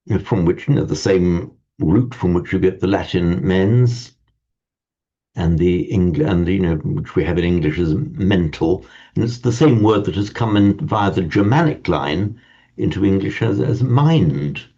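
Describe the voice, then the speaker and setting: Low gravelly husky male teacher. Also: expressive, a little slow, mellow. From parents from Ireland. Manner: hesitant, repeats and restarts words. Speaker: Sanskrit teacher. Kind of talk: informal class lecture. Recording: dull lo-fi sound.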